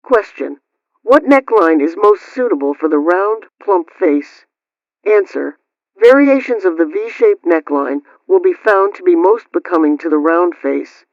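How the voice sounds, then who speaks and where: A woman's voice: fairly low pitch, animated, a little slow, a little mellow, dry recording. A woman playing a prim 1920s housekeeping host on the radio, reading scripted advice in a formal, instructive way through an old radio filter. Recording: dull, lo-fi old-radio sound.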